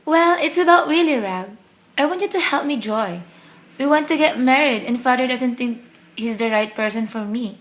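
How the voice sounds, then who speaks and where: A lovestruck young daughter in a radio drama. Her voice is fairly high, and she comes across as eager, naive and headstrong. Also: normal speed, fairly bright tone. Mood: eager, pleading. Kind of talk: radio play acting.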